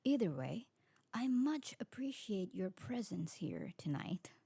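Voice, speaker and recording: A woman playing an elegant, sociable vampire aristocrat in an ASMR roleplay, refined and formal, with a soft husky voice. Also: medium pitch, sing-song, a little slow, no room sound, studio quality, exaggerated voice. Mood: poised, sociable. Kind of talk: scripted ASMR roleplay acting.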